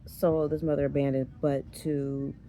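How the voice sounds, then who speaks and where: Woman in her 30s vlogging, hoarse and raspy, kinda dark low-key voice, rambles and loses her thread, faint hiss behind. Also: little pitch movement, normal speed. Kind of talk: casual chat.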